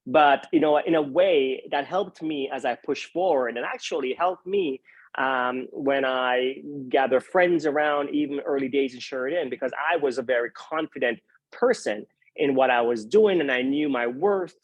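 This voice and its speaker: A man's voice: medium pitch, moderate pace, dry recording, poor audio. Man, Jamaican accent, middle-aged, reflective panel speaker, dead dry sound. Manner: reflective, earnest, frequent "you know". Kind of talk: panel discussion.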